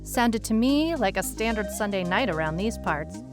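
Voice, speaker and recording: A woman playing a wry, world-weary private detective, narrating her case log in a dry, deadpan noir style. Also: medium pitch, moderate pace, music in the background, close to an everyday voice. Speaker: narrator, lead actor.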